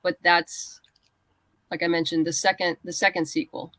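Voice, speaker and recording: A woman chatting casually as an interview guest, a writer explaining things in a halting, thoughtful way. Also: on the low side, flat delivery, a bit bright, dry recording. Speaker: guest writer and comics creator.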